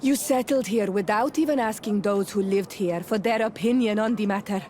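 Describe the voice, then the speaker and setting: A woman's voice: medium pitch, normal speed, mellow, a little hiss or hum, lightly acted. A woman playing a frank, principled young native companion in a video game, speaking earnestly and directly. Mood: reproachful. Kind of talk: video game dialogue acting.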